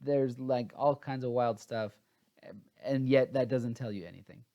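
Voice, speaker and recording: A man's voice: mid-range voice, measured pace, dark tone, dull audio. A man chatting casually as he hosts a book review, informal and a bit scattered and hesitant, sounding tired.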